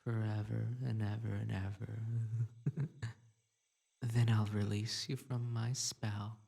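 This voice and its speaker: Guy doing a creepy clingy bunny spirit, slow gravelly voice, all giggly and coaxing. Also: on the low side, flat delivery, exaggerated voice. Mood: pleading. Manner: soft coaxing delivery broken by giggles and sudden outbursts. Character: unhinged possessive male bunny spirit, obsessive, giggling. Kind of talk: audio roleplay drama.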